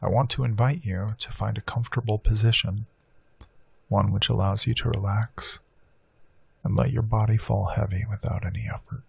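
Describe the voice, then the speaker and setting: A man leading a guided hypnosis meditation, speaking slow soothing affirmations that drift into soft whispers. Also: fairly low pitch, fairly even intonation, creaky, breathy edge, dry recording.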